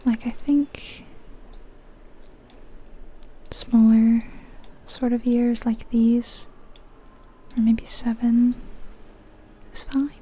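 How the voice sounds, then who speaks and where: A woman whispering breathily in an ASMR style, musing aloud in an unhurried, soft way as she comments on a game. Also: a little high, level tone, breathy edge, rough recording. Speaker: ASMR video creator.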